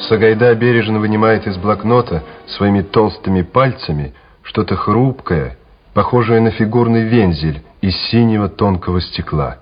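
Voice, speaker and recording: A man's voice: fairly low pitch, moderate pace. A man narrating a wartime radio drama in a scripted, dramatic style. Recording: slightly dull radio recording with music. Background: music.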